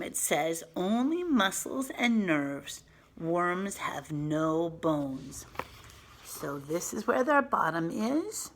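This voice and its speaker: Husky woman reading slowly to children. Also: fairly low pitch. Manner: expressive, simple explanations with emphasized words. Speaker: teacher reading a picture book aloud to children. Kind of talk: animated read-aloud with explanatory asides for young children.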